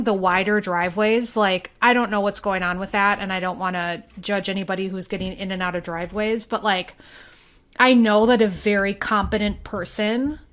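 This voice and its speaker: A woman's voice: medium pitch, fairly bright tone. A woman co-hosting a podcast in casual conversation, thinking aloud and hedging her points as she goes. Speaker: podcast co-host.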